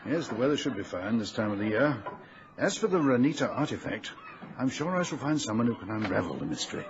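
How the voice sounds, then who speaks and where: An actor playing a courteous, learned gentleman archaeologist in a period radio drama, speaking formally and precisely. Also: medium pitch, very animated, fast talker. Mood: measured. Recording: muffled radio drama with faint background noise.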